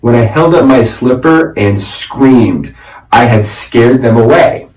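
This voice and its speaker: A man narrating a children's storybook in an expressive, dramatic way, like a teacher reading aloud. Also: medium pitch, slow. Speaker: reader, teacher. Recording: roomy echo, slightly distant mic.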